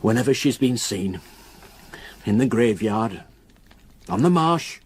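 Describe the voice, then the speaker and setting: An actor playing a grave, reluctant local countryman in a radio drama, with a solemn, halting way of telling a dark story. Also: mid-range voice, lively intonation, breathy edge. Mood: haunted, ominous.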